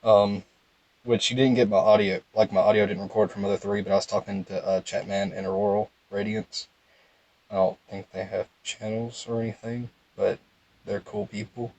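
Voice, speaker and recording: A young man with a calm, low voice, giving casual, rambling game commentary in a laid-back monotone. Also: normal speed, no echo, muffled recording. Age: young adult. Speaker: gaming video host.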